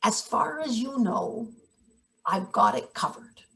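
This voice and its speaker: A woman's voice: on the high side, expressive, slow pace, creaky, sharp tone, heavily acted. A woman acting a brusque, scheming, cynical maintenance boss in a read-through of a satirical stage play. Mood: curt, secretive. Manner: bossy, clipped, sardonic.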